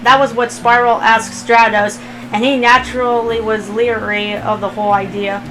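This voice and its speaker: A woman narrates a written story in a fairly high voice. She reads the scripted prose aloud as storyteller, with music clearly playing underneath. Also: level tone, normal speed, poor audio. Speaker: fan fiction reader, narrator.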